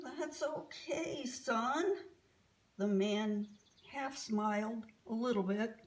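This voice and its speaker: A woman reading a children's story aloud as an expressive storyteller. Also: a little high.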